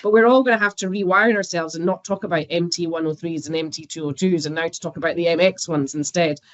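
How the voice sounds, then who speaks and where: A woman's voice: on the low side, fairly even intonation, quick pace, no room sound, dull audio. A woman with a Scottish accent, a banking expert guest talking casually in a podcast interview and laughing often. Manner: chatty, laughs often, uses fillers.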